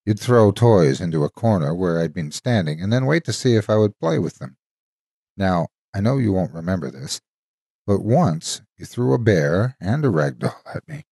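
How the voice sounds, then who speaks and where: A man narrating a dramatic story as a devoted, wistful ghostly guardian, an old soldier reminiscing tenderly in a husky, folksy way. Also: deep voice, big pitch swings, normal speed, warm tone, dry recording, studio quality, full character voice. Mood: fond reminiscing. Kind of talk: dramatic first-person horror storytime narration.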